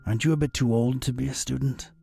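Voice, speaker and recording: A man playing a gruff, wry, old-fashioned private detective in an animated video game, probing with old-time gumshoe phrasing. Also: medium pitch, quick pace, no room sound, soft background music. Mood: miffed.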